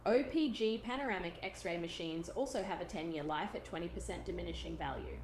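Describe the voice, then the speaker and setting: A woman reading a scripted explainer in a measured, instructional way, as a presenter. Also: lowish voice, darkish tone, slight background noise.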